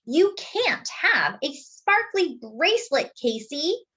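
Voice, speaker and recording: A woman voicing a bossy, disapproving young girl, an older sister in a storybook, speaking with drawn-out emphasis. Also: sits high, big pitch swings, sharp tone, pitched above the performer's own voice, heavily acted. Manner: emphatic, drawn-out scolding and appeals.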